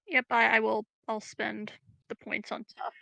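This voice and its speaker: A woman's voice: on the high side, level tone, measured pace, vocal fry, bright, forceful tone, no room sound, rough recording. A woman playing in a tabletop roleplaying game, chatting casually, giggly and thinking aloud. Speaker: player.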